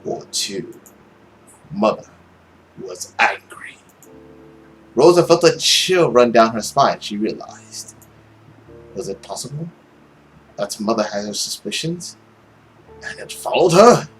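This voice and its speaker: A young adult man narrating a visual novel aloud as a let's play host, in an expressive, dramatic way. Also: medium pitch, slow pace, pauses often, soft background music, low-quality recording. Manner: animated, dramatic reading. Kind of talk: expressive story narration with casual commentary.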